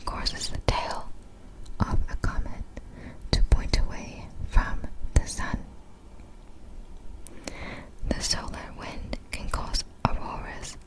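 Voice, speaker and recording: Slow whispering woman, ASMR. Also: low-quality recording. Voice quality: breathy, unvoiced whisper. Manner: halting, apologetic reader, stumbles over hard words. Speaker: ASMR video creator. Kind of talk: whispered ASMR reading of facts.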